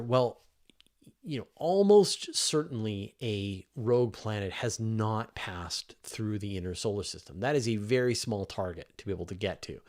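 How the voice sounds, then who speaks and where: Low-pitched man answering questions casually. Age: middle-aged. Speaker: host answering live audience questions on space and astronomy. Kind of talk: casual livestream Q&A, explaining science off the cuff.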